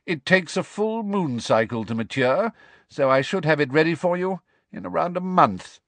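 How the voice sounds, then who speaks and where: A man's voice: on the high side, animated, normal speed, a bit bright, no room sound, voice pushed up. A man doing an icy, sneering professor. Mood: cool. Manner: smooth, cold, drawling, contemptuous. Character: cold, sardonic male potions professor.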